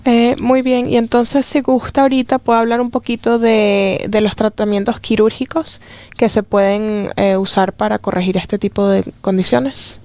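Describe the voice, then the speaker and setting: A woman's voice: on the high side, little pitch movement, normal speed, a little mellow, no room sound. A woman hosting a podcast interview, asking careful, polite questions with brief affirmations. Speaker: podcast host.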